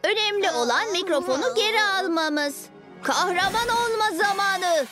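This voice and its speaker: A woman's voice: very high-pitched, expressive, normal speed. A woman voicing an earnest, eager six-year-old boy superhero in a children's cartoon. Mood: determined. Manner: earnest, heroic. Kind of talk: Turkish-dubbed children's cartoon acting. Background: music.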